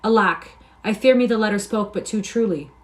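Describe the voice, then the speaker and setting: A woman reading the part of a guarded young nobleman who speaks in a mild, soft tone with old-fashioned phrasing. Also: mid-range voice, a little fast, pitched above the performer's own voice, lightly acted.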